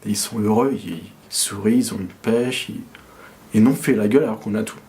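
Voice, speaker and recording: A man's voice: on the low side, fairly quick, a little mellow. Young man musing hesitantly. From France. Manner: hesitant, many fillers and restarts. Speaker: interviewee. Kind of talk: casual reflective talk.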